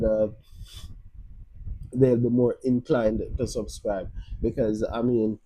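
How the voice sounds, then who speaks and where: A Jamaican man talks loosely on a live stream, circling back and restarting his sentences as he goes. His voice is very dark and mellow, with a husky edge. He speaks at an everyday middle pitch. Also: low-quality recording. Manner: rambling, many restarts and fillers. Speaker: livestream host. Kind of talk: casual live-stream advice.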